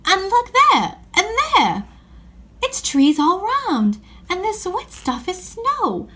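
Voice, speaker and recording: A woman's voice: very high voice, huge pitch swings, slow pace, bright, forceful tone, voice pushed up, heavily acted. A woman voicing a practical, sensible schoolgirl from a children's storybook, with proper old-fashioned phrasing. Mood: excited complaint.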